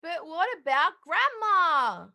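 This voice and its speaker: Woman as a very high-voiced little grandchild. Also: very animated, slow pace, creaky, sharp tone, exaggerated voice. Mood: puzzled. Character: small, puzzled, inquisitive grandchild. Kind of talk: comedic audio play.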